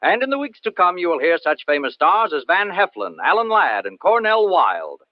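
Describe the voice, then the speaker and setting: A man's voice: high-pitched, very animated, normal speed, dry recording, muffled recording. An American man announcing a radio show in a 1940s and 50s style, on an old broadcast.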